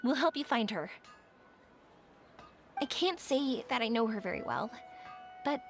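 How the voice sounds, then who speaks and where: A high, lively, reassuring young woman. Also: measured pace, faint music behind. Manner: encouraging, expressive. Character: kind, upbeat, reassuring young woman helper. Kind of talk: animated video game voice acting.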